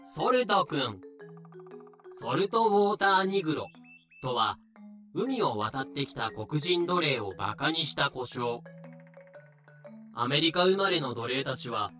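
Guy narrator explaining stuff slowly, bright forward voice, light music behind. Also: on the high side, lively intonation.

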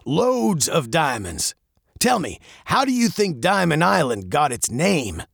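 A grim old sea captain from a storybook adventure, voiced by a male narrator. He speaks gravely, like a man recounting old family legends, with an animated rise and fall. The sound is dry and near. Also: fairly high pitch, unhurried, no echo, pitched above the performer's own voice, full character voice.

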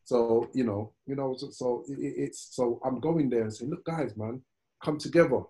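A man's voice: mid-range voice, moderate pace, mellow. A man in casual podcast chat, talking in a rambling way with many restarts and repeated words.

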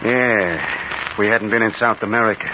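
An actor playing a surly, jaded gangster in an old-time radio drama, irritable and sardonic. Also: male voice, lowish voice, moderate pace, natural voice. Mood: bitter. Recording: noisy, dull old radio broadcast.

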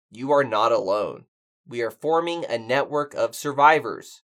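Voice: medium pitch, fairly bright tone. A performer playing a pedantic, fussy apocalypse survivor who co-hosts a radio show in a pompous, wordy way. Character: pedantic, fussy survivor co-hosting an amateur broadcast. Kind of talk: scripted comedic audio drama, bantering radio show.

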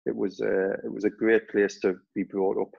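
A man's voice: on the low side, level tone, moderate pace, vocal fry, darkish tone, dull audio. A man in his forties with a Geordie accent, a former player and club staff member, answering interview questions in a rambling way with many restarts. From Newcastle, England. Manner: rambling, frequent restarts and fillers.

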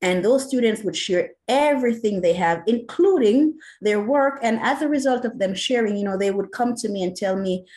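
A Jamaican woman, an educator, speaks in an interview with earnest passion. She talks at an even, middle pitch. She fills her sentences with frequent "you know"s. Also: normal speed, hardly any pauses. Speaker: educator and academic. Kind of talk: interview answer.

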